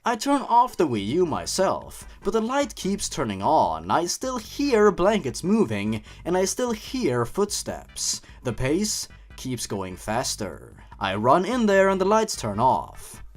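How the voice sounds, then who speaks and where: A man narrates with a highly animated, swooping delivery, like a show host reading a spooky tale aloud. His manner is theatrical and teasing, slipping between drama and dry commentary. Faint music plays behind him. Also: takes it slowly, few pauses. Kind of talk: dramatic scripted story reading with comic commentary.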